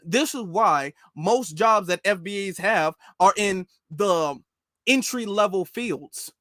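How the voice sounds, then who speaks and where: A man's voice: high voice, expressive, slow, a bit bright, dry recording, studio quality. A man from the United States giving informal, emphatic political commentary on a live stream, repeating phrases for stress.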